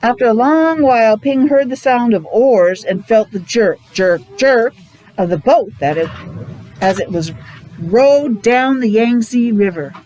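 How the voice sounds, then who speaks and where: A woman reading a picture book aloud to young children, expressive, adding explanations and questions along the way. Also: fairly high pitch, measured pace, rough recording. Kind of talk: animated read-aloud storytelling with asides to young children.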